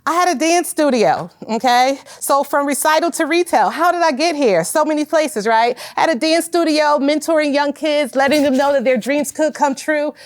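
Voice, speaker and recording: A woman in her forties from Connecticut pitches her business in a loud, emphatic voice that sits high. She talks straight to her listeners and calls them y'all. The sound is dry and close, with no room echo. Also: normal speed, few pauses. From Hartford, Connecticut. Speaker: business owner.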